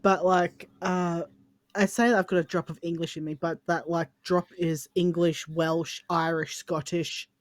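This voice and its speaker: A woman's voice: fairly low pitch, little pitch movement, a little slow, dry recording, studio quality. An Australian woman co-hosting a casual chat, telling anecdotes in a jokey, exaggerating way with acted-out quotes. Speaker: podcast co-host.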